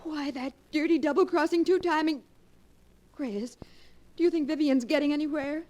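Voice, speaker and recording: An actress in a 1940s radio drama playing an excitable, jealous young woman chasing a fortune. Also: normal speed, natural voice. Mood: outraged. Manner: shrill, breathless, gushing.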